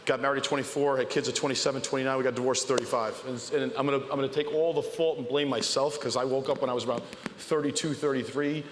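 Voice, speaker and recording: A man, telling his life story casually and haltingly on a panel. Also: mid-range voice, steady tone, slight background noise. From New York (Bronx). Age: 40s. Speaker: panel guest. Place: convention panel stage, indoors. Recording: echoey large hall, live event ambience.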